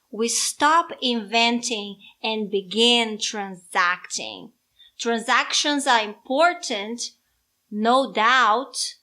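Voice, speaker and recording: A middle-aged Brazilian woman with a Brazilian accent, talking slowly and unhurriedly in a fairly high voice. She teases and jokes through a casual podcast chat with her daughter. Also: sharp tone. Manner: unhurried, playful, teasing. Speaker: podcast co-host, mother.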